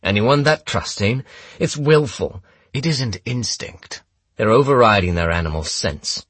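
A man's voice: on the low side, expressive, measured pace, fairly bright tone, slightly rough, dry recording, pitched above the performer's own voice, full character voice. A man voicing a smug, menacing male villain for an audiobook, with a commanding, sneering delivery. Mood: bitter sneering. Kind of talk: audiobook character dialogue.

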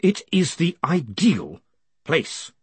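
Man playing a calm, icily polite ruler. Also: fairly high pitch, husky, no room sound, pitched above the performer's own voice, exaggerated voice. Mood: calm, pressing. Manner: measured, formal, deliberate. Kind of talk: audiobook character acting.

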